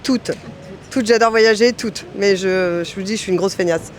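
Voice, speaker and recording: A man with a high voice answers cheerfully, poking fun at himself. Faint street noise in the background. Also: moderate pace.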